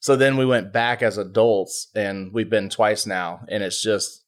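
A man's voice: on the low side, fairly even intonation, a little slow. An American man, middle-aged, hosting a podcast with casual, folksy chat in a studio. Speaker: podcast host.

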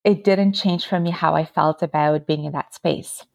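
A woman's voice: deep voice, steady tone, moderate pace, vocal fry, a little hiss or hum. A woman from Ukraine, a native Ukrainian speaker, talking about her career in a casual, reflective interview. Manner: reflective, telling stories with small laughs. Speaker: podcast guest.

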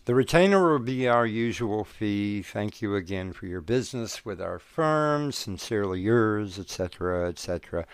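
An actor playing a businessman fiancé who talks in a brisk, businesslike way and grows guarded. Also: lowish voice, lively intonation, smooth, dry recording. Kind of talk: audio drama acting.

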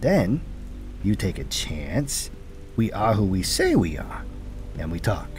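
A man's voice: fairly low pitch, sing-song, slow pace, a little mellow, faint music behind. A man playing a cocky, exasperated hard-boiled cop in a noir audio drama, sardonic and streetwise with punchy delivery. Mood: cool, threatening.

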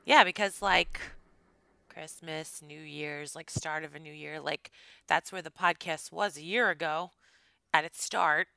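A woman co-hosting a casual podcast chat, her voice slightly scratchy and tired, talking in a chatty, animated way. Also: low-pitched, vocal fry, smooth, no echo. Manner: chatty, animated, quick laughs. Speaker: podcast co-host.

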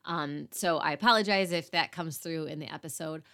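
A woman hosting a parenting podcast, slightly hoarse, talking earnestly with fillers. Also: low-pitched, normal speed, fairly bright tone. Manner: earnest, apologetic asides with fillers. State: slightly hoarse after illness. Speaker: podcast host. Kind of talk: podcast intro and interview.

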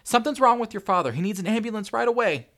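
A man's voice: fairly high pitch, big pitch swings, moderate pace, creaky, voice pushed up, exaggerated voice. A man voicing an adult wife and mother with an emphatic, emotional delivery in a story reading. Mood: frantic. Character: teasing wife and mother turned frantic, adult woman.

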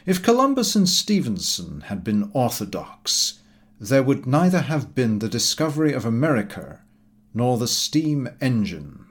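A pompous gentleman intellectual from a comic novel, acted out by a man reading aloud. He speaks slowly and grandly in a rich voice, with self-assured weight and a lively rise and fall. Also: mid-range voice. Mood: grandly assertive. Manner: slow, weighty, pompous delivery. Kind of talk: audiobook character voice from comic novel.

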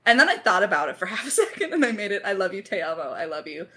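A woman's voice: mid-range voice, big pitch swings, very fast, pushed and bright, husky. A woman chatting casually in a creator Q&A, effusive and playfully dramatic, rambling along in slangy speech. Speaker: lead writer and voice actor of an audio drama.

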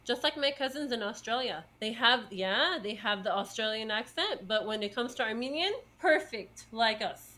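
Chatty woman with a high voice. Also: lively intonation, moderate pace, few pauses, pushed and bright. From United States. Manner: rambling, many fillers and laughs. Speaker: podcast co-host. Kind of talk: casual chat.

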